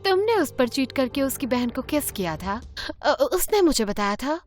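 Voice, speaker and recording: A young woman playing an awkward, earnest teenage schoolgirl telling her own animated story in an expressive, conversational way. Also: sits high, normal speed. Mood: defensive. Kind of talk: dramatised first-person animated story narration. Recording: music behind the voice.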